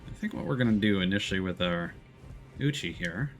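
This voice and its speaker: A man's voice: sits low, lively intonation, a little fast, faint music behind. A man giving casual live gameplay commentary as a streamer, explaining things and thinking aloud. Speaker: game streamer.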